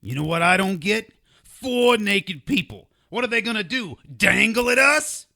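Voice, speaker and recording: An actor playing a funny-looking little magical man in an audio drama, wisecracking, sarcastic and lecherous, with a raspy, creaky voice. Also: high voice, expressive, measured pace, pushed and bright, exaggerated voice. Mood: mocking, griping. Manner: sarcastic, griping, exclamatory.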